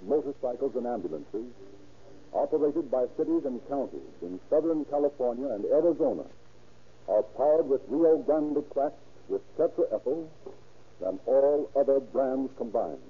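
A man's voice: medium pitch, breathy edge. A man reading scripted narration as a formal, emphatic 1930s radio announcer. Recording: tinny 1930s radio recording with music.

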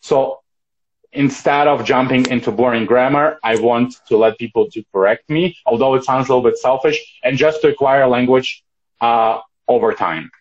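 A man's voice: medium pitch, normal speed. A man whose first language is Russian, answering interview questions in English, fluent and thoughtful with a few restarts. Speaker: guest. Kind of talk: casual interview. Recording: dull lo-fi sound.

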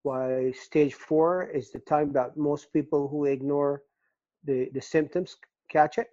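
An older man from Barbados with a Barbadian accent, telling his story in a casual interview, earnest and halting with repeated words. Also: on the high side, steady tone, warm tone, no room sound. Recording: dull, dry lo-fi recording.

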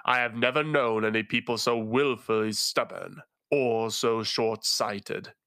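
A man's voice: lowish voice, steady tone, pushed and bright, smooth, dry recording, clean studio sound. A man voicing a resonant tentacled sage. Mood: grave. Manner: slow, measured, grave. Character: solemn male tentacled illusionist knight.

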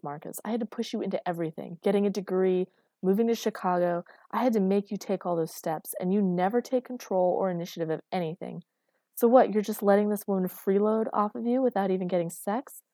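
A woman's voice: mid-range voice, a little fast, warm tone, no echo, natural voice. Woman playing a scornful thirties mother, berating manner, audiobook dialogue, darkish tone, dry sound. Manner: berating, cutting.

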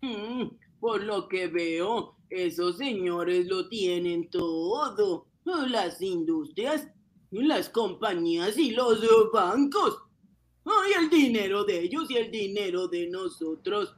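A man's voice: sits high, expressive, takes it slowly. A man playing a naive, earnest ordinary saver, a little hesitant, in an amateur radio drama reading. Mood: indignant.

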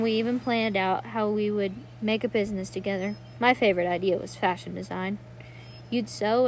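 A woman's voice: medium pitch, lightly acted. A woman acting an earnest, pleading sister, noisy recording. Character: earnest pleading young woman, devoted sister coaxing memories. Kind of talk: dramatic student monologue reading.

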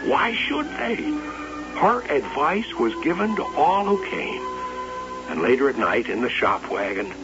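An actor in an old-time radio drama playing an earnest, wistful carnival showman who tells his story dramatically. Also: mid-range voice. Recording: muffled old radio recording with music.

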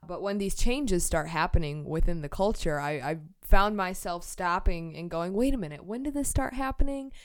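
A woman's voice: on the low side, moderate pace, hardly any pauses, smooth. An American woman hosting a podcast, talking conversationally about a book in an articulate, reflective way. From United States. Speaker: podcast host.

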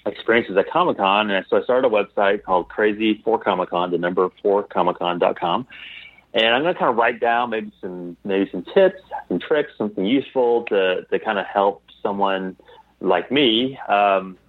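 A man as an interview guest, telling anecdotes casually and haltingly over a tinny remote call. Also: on the low side, no room sound. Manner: halting, repeats phrases as he thinks aloud.

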